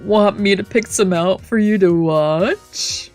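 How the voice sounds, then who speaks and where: A woman's voice: medium pitch, slow. A woman streamer reading a visual novel aloud, stumbling over lines now and then and breaking into playful, casual asides. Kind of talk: let's play reading with casual reactions. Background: faint game music.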